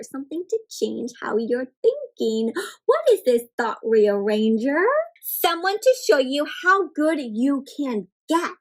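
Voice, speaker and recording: A high-voiced woman reads a picture book aloud for children. She tells it expressively, with exaggerated gasps, and often turns to her young listeners with direct questions. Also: poor audio. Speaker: children's read-aloud host.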